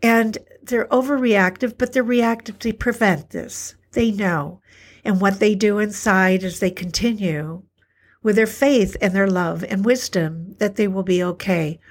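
A middle-aged woman hosting a podcast, talking alone in an earnest, reflective way; her voice is a little hoarse today. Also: medium pitch. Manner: earnest, repetitive, slows for emphasis. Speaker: podcast host, alternative health practitioner. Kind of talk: reflective solo talk.

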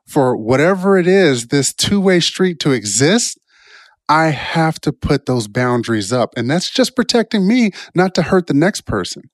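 A man with an average-pitched voice talks like an informal motivational speaker. He is reflective and tends to ramble, restarting thoughts and tagging points with "right?" The sound is dry, with hardly any room in it. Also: dry recording. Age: adult, past college years. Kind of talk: informal self-help talk with personal stories.

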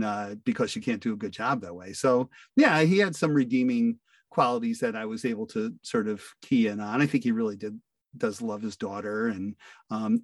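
A man's voice: on the low side, dry recording, clean studio sound, distant mic. An older man with an American accent, chatting casually as an interview guest in a hesitant, self-deprecating way. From northeastern United States. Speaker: interview guest, amateur-turned voice actor.

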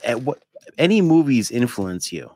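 A man's voice: mid-range voice, very animated, moderate pace, a little mellow, breathy edge, dry recording. A man hosting a podcast in casual chat, putting questions to others in an informal, piecemeal way. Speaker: podcast host.

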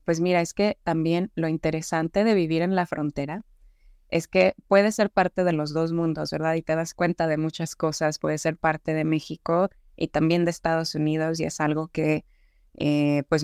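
A woman's voice: deep voice, level tone, normal speed, darkish tone, clear, resonant tone, no room sound, clean studio sound. A young woman from the Juárez border region, a podcast guest talking in a friendly, earnest way with many fillers. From Mexico, Juárez border region. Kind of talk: podcast interview.

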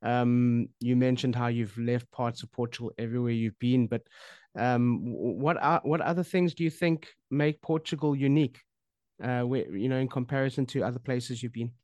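A man's voice: medium pitch, fairly even intonation, moderate pace, darkish tone, dry recording. A man hosting a podcast interview, friendly and agreeable, often restarting his questions as he asks them. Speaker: podcast host.

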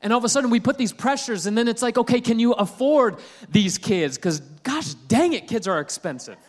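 A man in his thirties, a pastor preaching a sermon in a conversational, humorous way that climbs to loud, emphatic peaks. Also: very high-pitched, lively intonation, a little fast.

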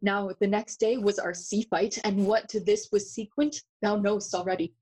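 A woman playing a passionate, grieving young prince in a bilingual Spanish and English Shakespeare audio drama, husky and theatrical. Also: mid-range voice, steady tone, normal speed, darkish tone, lightly acted, low-quality recording. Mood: intense, wry recounting. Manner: theatrical, declamatory, slips between Spanish and English.

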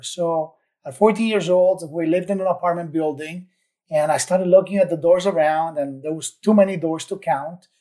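A man's voice: on the high side, a little mellow. A man from Ecuador, a podcast guest, telling his story in an upbeat, enthusiastic way. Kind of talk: casual interview chat.

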